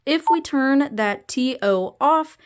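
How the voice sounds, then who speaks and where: A woman's voice: sits high, lively intonation, takes it slowly, very noisy. A woman reviewing a product, explaining it step by step in a hands-on narration to camera.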